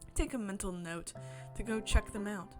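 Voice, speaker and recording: A woman game streamer expressively reading a visual novel aloud, breaking into excited, chatty asides. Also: medium pitch, moderate pace. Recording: music behind the voice. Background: game music.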